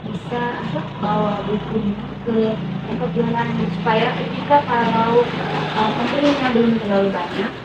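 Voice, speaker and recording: A woman giving halting suggestions as a participant in group counseling. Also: a little high, fairly even intonation. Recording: echoey, very noisy, muffled distant microphone.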